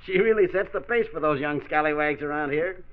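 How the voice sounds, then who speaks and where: A man's voice: a little high, fairly quick, faint music behind, natural voice. An actor playing a jovial, folksy neighborhood man who indulges children in a 1940s radio drama. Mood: amused, jovial. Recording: tinny narrow 1940s radio recording.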